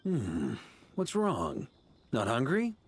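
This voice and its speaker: A man's voice: medium pitch, huge pitch swings, slow pace, a little hiss or hum, full character voice. A man voicing an easygoing, roguish grandmaster of knights in an anime game, informal and teasing. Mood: friendly, inviting. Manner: laid-back, informal, teasing.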